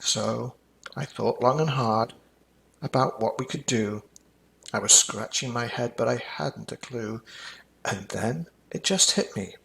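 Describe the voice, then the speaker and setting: Older grandpa type guy reading kids rhyming story, gravelly but bright, dramatic. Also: medium pitch, unhurried. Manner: expressive, dramatic. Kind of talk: scripted rhyming children's story narration.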